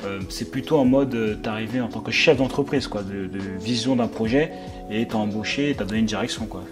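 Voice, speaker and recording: A man's voice: fairly low pitch, a little fast. A man, asking informal questions as an interviewer. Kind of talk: casual chat, informal questions. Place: living room, on a sofa indoors. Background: background music.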